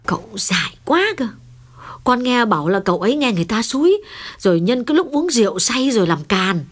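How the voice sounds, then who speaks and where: A woman voicing a chatty, deferential and excitable household servant in an audio story. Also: on the high side, a little fast. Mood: gossiping. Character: gossipy, excitable female household cook and servant. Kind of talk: audio story character dialogue.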